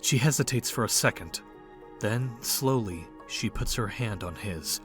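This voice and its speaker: A man's voice: fairly low pitch, lively intonation, measured pace, over music. A man reading scripted third-person narration as the storyteller of an audio drama.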